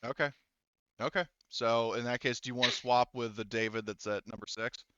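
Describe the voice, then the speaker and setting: A man acting as game master of a casual tabletop roleplaying game, haltingly thinking aloud as he goes. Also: medium pitch, steady tone, dull audio, noisy background.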